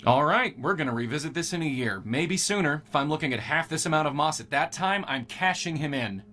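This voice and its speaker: An actor playing an arrogant, impatient, demanding boss in an audio drama, sarcastic and quick to interrupt. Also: male voice, a little high, expressive, bright, forceful tone. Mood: demanding, cold.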